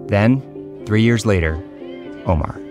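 A man hosting a podcast, giving calm, measured scripted documentary narration. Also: fairly low pitch, music in the background.

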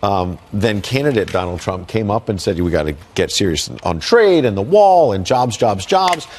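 Male TV political commentator, deeper register, lively intonation, emphatic panel delivery. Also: normal speed.

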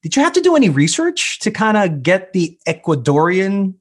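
A man's voice: high voice, wildly swooping pitch, normal speed. A man interviewing an actress, asking long, conversational questions that lay out the context before getting to the point.

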